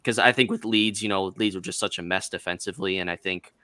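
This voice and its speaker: Casting: deep, level male voice, crisp and bright, dry sound, hesitant panelist. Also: fairly even intonation, quick pace, no echo. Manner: hesitant, many fillers. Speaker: podcast panelist. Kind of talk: casual football discussion.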